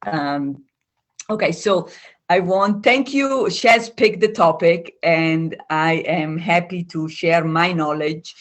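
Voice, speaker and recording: A woman from Italy with an Italian accent, a coach calmly explaining ideas as a guest over a video call. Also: on the low side, slow. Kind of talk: explanatory interview talk.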